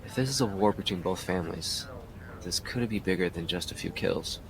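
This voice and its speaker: A weary detective in an audio drama, with a very low voice and a gravelly edge. He speaks in a brooding, deliberate way, as if committing a case to tape. A faint rumble sits behind him. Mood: grave, puzzled. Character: weary, driven male police detective recording his case. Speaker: detective narrator. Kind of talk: audio drama narration and dialogue.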